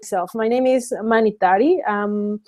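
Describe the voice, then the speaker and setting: A woman's voice: medium pitch, normal speed, darkish tone. A woman from Mexico in her thirties hosting a casual webinar, speaking hesitantly with many fillers and restarts. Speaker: webinar host.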